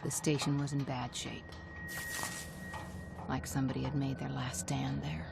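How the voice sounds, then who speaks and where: An actress playing a tough, weary lone survivor, narrating a zombie video game in a grim, measured way. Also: female voice, a bass voice, flat delivery, vocal fry, noisy background, full character voice. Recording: loud music under the voice. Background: loud music.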